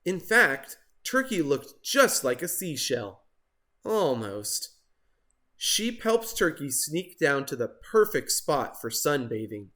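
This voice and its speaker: Guy reading a kids book out loud, takes his time, really lively voice. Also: on the high side, slow. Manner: expressive. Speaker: storybook reader. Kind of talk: scripted children's story narration.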